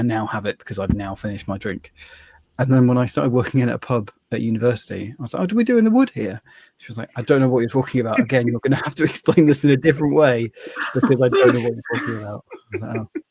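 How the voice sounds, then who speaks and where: A man's voice: medium pitch, quick pace, darkish tone, breathy edge, dry recording. A man co-hosting a podcast, telling rambling anecdotes. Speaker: podcast co-host. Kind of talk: casual chat.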